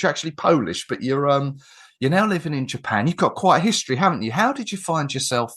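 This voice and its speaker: A man's voice: medium pitch, a bit bright. A man from England hosting a football podcast, chatting with guests in a rambling, friendly way with frequent restarts. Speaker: podcast host. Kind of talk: casual podcast interview.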